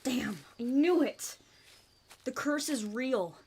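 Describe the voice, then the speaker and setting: Higher-pitched, petulant huntress played by a woman. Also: lively intonation, takes it slowly, darkish tone, breathy edge, exaggerated voice. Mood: uneasy. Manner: complaining, petulant. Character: whiny, greedy, superstitious young huntress woman. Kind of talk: audio roleplay drama.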